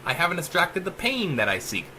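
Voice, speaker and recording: Casting: male reader as sadistic, unhinged female computer, mechanical and teasing, sharp forceful tone, animated delivery, horror story. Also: fairly high pitch, brisk, slight background noise, pitched above the performer's own voice, heavily acted. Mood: hateful. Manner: taunting, mechanical tease, drawling. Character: cruel, mocking female AI, hateful and unhinged. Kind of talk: dramatic rhyming sci-fi horror audiobook.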